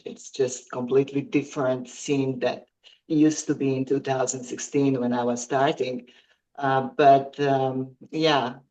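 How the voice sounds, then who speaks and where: Slow, hesitant woman in her fifties. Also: a bass voice, mellow, highs cut off. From Croatia. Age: 50s. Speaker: guest athlete. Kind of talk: podcast interview.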